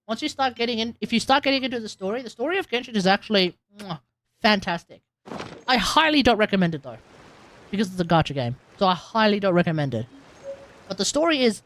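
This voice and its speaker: A woman streamer chatting casually as she wraps up a stream, rambling with lots of "like" and laughing. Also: mid-range voice. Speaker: game streamer.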